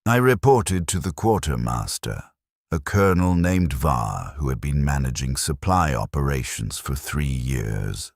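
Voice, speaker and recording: A man narrating a scripted first-person war story in a grave, measured, formal manner. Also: rumbling low, lively intonation, vocal fry, no echo, studio quality.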